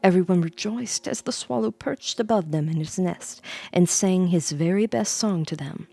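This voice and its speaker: A woman streamer reading a bedtime story in a soft ASMR style, close to the microphone, with playful, rambling asides to her viewers. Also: lowish voice, normal speed, gravelly, no room sound.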